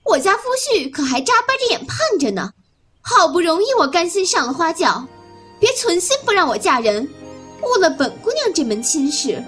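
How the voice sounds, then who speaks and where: Casting: feisty young bride, very high and animated, haughty and impatient, radio-play style, faint music. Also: female voice, moderate pace, full character voice. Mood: indignant, haughty. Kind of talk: Chinese audio drama acting.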